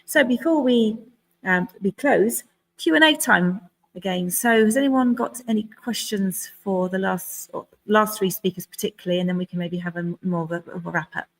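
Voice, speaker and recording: A woman's voice: mid-range voice, moderate pace, low-quality recording. Chatty woman hosting a speaker event. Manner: chatty, loosely phrased, frequent "um" and "kind of". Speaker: event host.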